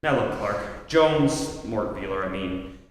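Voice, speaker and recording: An actor playing a teasing, avuncular crimefighter off duty in an old-time radio play, chummy and slangy. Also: male voice, fairly low pitch, expressive, slow pace. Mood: earnest. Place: theatre stage, live performance. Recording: echoey hall stage, light noise.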